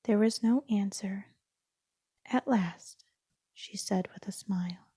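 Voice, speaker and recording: A woman's voice: medium pitch, fairly even intonation, normal speed, warm tone, husky, dry recording. A woman narrating a children's storybook as a read-aloud. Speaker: storybook reader.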